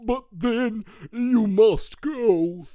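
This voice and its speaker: A man voicing a grumpy big male bear in a booming, huffy, dramatic way. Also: very slow, dark tone, no echo, clean studio sound. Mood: grumbling.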